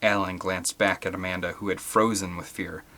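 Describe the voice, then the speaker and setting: A man's voice: low-pitched, fairly even intonation, moderate pace, pushed and bright. A man reading an adventure story aloud as scripted, dramatic audiobook narration. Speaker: audiobook reader.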